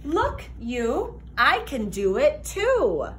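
A young woman reading a children's picture book aloud like a teacher, expressive, sing-song and deliberate. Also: high voice, a little hiss or hum. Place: classroom, indoors.

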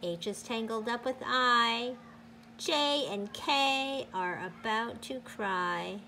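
A woman teacher reading a picture book aloud to children in a deliberate, sing-song, emphatic way. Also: a little high, sharp tone, a little hiss or hum.

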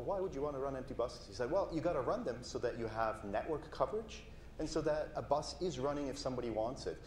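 A man's voice: medium pitch, a little mellow, slight background noise. A man in his thirties from Switzerland, speaking in a friendly, chatty way as a panelist on a conference stage. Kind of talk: panel discussion. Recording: roomy hall from far off.

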